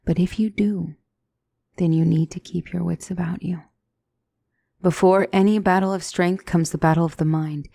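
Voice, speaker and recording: A woman playing a gentle demonic tutor in a scripted ASMR roleplay, speaking softly and deliberately as a calm battle mentor. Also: lowish voice, vocal fry, dry recording. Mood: calm, instructive. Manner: measured, deliberate, soft-spoken, instructive.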